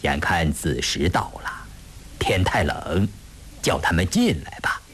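A man acts a grave and compassionate gentleman from a storybook tale. He speaks low and slowly, pondering, with a husky sighing delivery.

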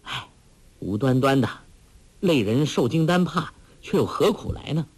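A man voicing an honest, slow-witted young swordsman in a martial arts story, speaking earnestly and with worry. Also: medium pitch, lively intonation, slightly rough. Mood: worried. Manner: earnest and worried.

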